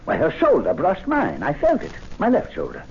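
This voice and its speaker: Wildly swooping, excitable gentleman. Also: sits high, moderate pace, vocal fry, exaggerated voice. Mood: feverish rage. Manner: theatrical, impassioned. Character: excitable, domineering gentleman, obsessively rapturous and quick-tempered. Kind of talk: old-time radio drama acting. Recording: dull hissy vintage radio recording.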